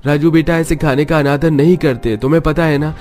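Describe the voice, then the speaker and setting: A man's voice: on the high side, steady tone, brisk, a little mellow, close to an everyday voice. A man playing an earnest, downtrodden young father and poor worker in a melodramatic cartoon story, with a pleading manner. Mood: gentle. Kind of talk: melodramatic Hindi cartoon acting.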